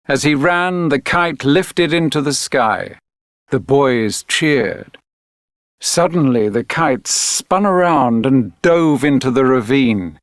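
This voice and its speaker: A man reading a children's read-along story as a narrator, deliberate and expressive. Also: mid-range voice, dry recording, studio quality. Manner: deliberate, clearly phrased, expressive reading for learners. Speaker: textbook audio narrator.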